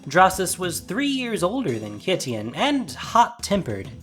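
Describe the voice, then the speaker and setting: A man's voice: high voice, wildly swooping pitch, measured pace, a bit bright, soft background music. A man narrating a scripted story as a dramatic storyteller.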